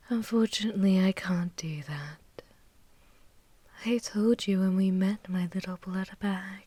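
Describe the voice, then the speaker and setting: Woman acting whispering, slow-spoken seductive vampire warlord. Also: mid-range voice, steady tone, mellow, no room sound. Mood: teasing, possessive. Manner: intimate, slow, languid, teasing, mostly whispered. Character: seductive, possessive, menacing female vampire warlord. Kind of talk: whispered ASMR fantasy roleplay.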